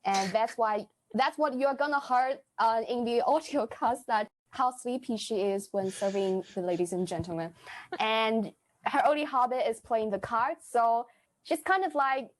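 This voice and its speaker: Deliberate woman giving explanatory answers. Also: fairly high pitch. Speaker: podcast guest, amateur actor.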